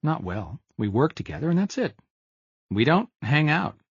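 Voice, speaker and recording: A man's voice: a little high, very animated, moderate pace, breathy edge, no room sound, dull audio, pitched above the performer's own voice, exaggerated voice. A male narrator voicing a jittery, fidgety man who keeps pleading to be trusted. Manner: pleading, insistent.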